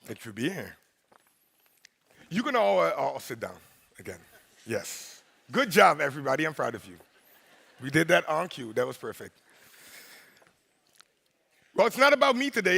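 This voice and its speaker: A deep-voiced male host on a big stage, his gravelly voice bright and forward as it rings through a large hall with a long echo. He speaks in a playful, rhythmic way, teasing the crowd and checking in with them. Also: big pitch swings, moderate pace, long pauses. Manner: playful, rhythmic, crowd-engaging with repeated "right?". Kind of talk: opening speech at a congress.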